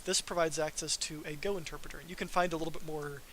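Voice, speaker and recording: Male software presenter, forceful bright tone, informal and self-deprecating, faint hiss on the recording. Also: a little high, fairly even intonation, quick pace. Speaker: software developer presenting a live demo. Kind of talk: informal technical livestream presentation.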